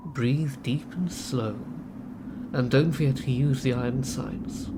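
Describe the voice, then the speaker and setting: A man's voice: medium pitch, fairly even intonation, slow, close to an everyday voice. A man playing a prim and proper mentor giving patient instruction in an audio drama. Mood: patient instructing. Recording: loud noise over the voice.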